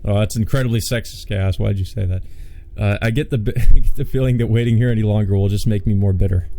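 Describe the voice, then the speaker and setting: A man's voice: fairly low pitch, steady tone, dark tone, soft background music. A man reading a visual novel aloud as a let's play host, with casual commentary. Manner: halting, repetitive stammer in asides.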